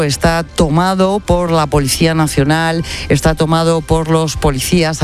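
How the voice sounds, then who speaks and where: A man with a notably high voice gives a brisk, conversational live radio report from outdoors in a city. Faint music plays underneath him. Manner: brisk, conversational, tag questions.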